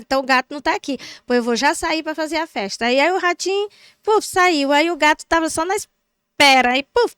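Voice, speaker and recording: A middle-aged woman, an English teacher chatting as a podcast guest, telling stories in a lively, teasing way. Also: high voice, dry recording, clean studio sound. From Teresina, Brazil. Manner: animated storyteller, teasing. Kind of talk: casual podcast chat. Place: studio.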